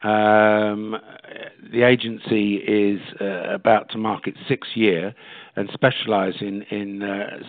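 A man's voice: fairly low pitch, level tone, takes it slowly. A man who runs a marketing agency answers interview questions in a businesslike way, with hesitant fillers. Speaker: digital marketing agency head. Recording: tinny phone-like dry sound.

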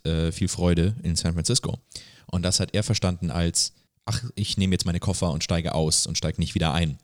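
A man with a low voice tells a story in a casual podcast style. His phrasing is slangy and hesitant, with English words dropped in. The sound is dry, with very little room. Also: no room sound. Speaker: podcast co-host.

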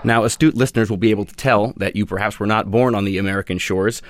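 A man's voice: on the low side, fairly even intonation, quick pace, heavy background noise. A man interviewing a guest, asking earnest, slightly formal questions. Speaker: producer, interviewer.

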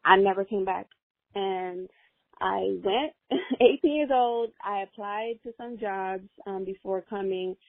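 A woman's voice: medium pitch, slow pace, dry recording. A young woman whose first language is Spanish, an interview guest talking earnestly in a casual, rambling way with many fillers. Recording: dry, tinny phone-like audio.

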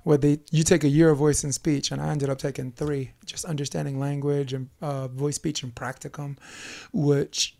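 Give me a joking young man hosting a podcast, gravelly and slightly high. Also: little pitch movement, mellow. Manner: jokey, rambling, with quick asides and laughs. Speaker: podcast host. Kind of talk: casual podcast chat.

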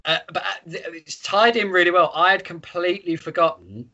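A man's voice: sits high, steady tone, fairly quick, sharp tone, lo-fi sound. A man hosting a podcast, chatting casually about a book in an irreverent, sweary way. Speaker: podcast host. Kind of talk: casual book discussion chat.

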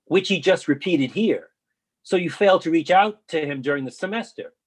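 A man's voice: on the high side, moderate pace, lightly acted. A man reading the part of a blunt college colleague who probes and challenges, in a play. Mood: accusing. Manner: challenging, colloquial, probing. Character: blunt, accusing male college colleague, sympathetic to students. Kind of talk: readers theatre play reading.

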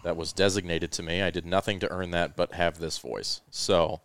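A man hosting a podcast, explaining gear in a casual, relaxed, rambling way with plenty of fillers and a smooth voice. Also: deep voice, moderate pace, a little hiss or hum. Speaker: podcast host.

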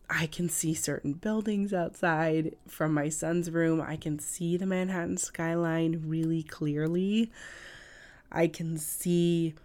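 Woman doing a casual solo podcast, kinda low hoarse raspy voice from a cold, talks slow and halting. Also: a little mellow. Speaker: podcast host. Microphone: cough drops in mouth, podcast recording.

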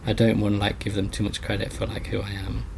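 A man talking casually and reflectively in an interview, in a halting, rambling way with lots of filler words. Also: lowish voice, monotone, gravelly, noisy background. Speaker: interview guest.

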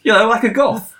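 A man's voice: extremely high pitch, pushed and bright. A man hosting a comedic panel chat, joking and laughing often. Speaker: host.

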